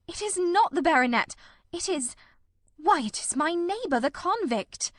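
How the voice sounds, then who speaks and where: A performer voicing a shrewd, grimly determined male detective in a radio mystery, speaking formally and deliberately. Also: very high voice, lively intonation, fairly bright tone, dry recording, studio quality. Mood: excited. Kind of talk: old-time radio mystery drama.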